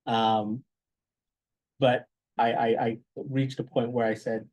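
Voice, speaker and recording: A man giving reflective advice as a guest speaker, in a halting way that repeats words as he thinks. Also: mid-range voice, little pitch movement, a little mellow. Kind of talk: reflective advice in an informal talk.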